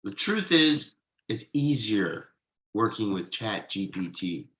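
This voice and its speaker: A man in a script table read playing a defensive, evasive husband and father, conversational and a little halting. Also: fairly low pitch, big pitch swings, fairly bright tone, full character voice. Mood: defensive, exasperated. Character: defensive, evasive middle-class husband and father writing ad copy.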